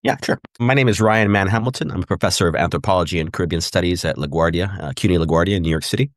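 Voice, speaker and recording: Middle-aged man from Puerto Rico, low voice, quick reflective guest answers, dry close mic. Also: dry recording. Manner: thoughtful, repeats "right?" and "again". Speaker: guest historian. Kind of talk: conversational interview answers.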